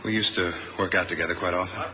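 An actor playing a casual, uneasy young college athlete in a radio drama, speaking a little haltingly. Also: male voice, deep voice, fairly even intonation, close to an everyday voice. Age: young adult. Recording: noisy 1970s radio drama recording.

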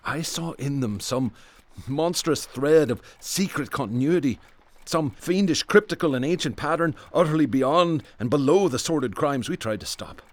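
A man's voice: on the high side, lively intonation, moderate pace, no echo, natural voice. A man playing a brooding, bookish Irish police detective who speaks in ornate, literary phrases in a radio drama. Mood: haunted.

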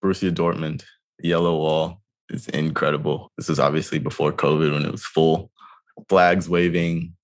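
Man, 30s, extremely low voice, relaxed co-host who hesitates and restarts a lot. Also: moderate pace. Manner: hesitant, frequent restarts and fillers. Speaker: podcast co-host. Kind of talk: casual chat.

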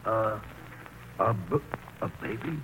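An actor playing a folksy, good-natured older uncle who exclaims and stammers, in 1940s radio drama style. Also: male voice, lowish voice, slow, fairly bright tone. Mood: surprised, flustered. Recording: noisy vintage radio broadcast recording.

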